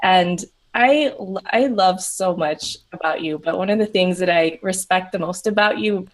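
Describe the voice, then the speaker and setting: A woman from Peru, a coach for moms, speaking as an interview guest in an earnest, reflective way with many halting restarts. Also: lowish voice. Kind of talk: casual interview chat.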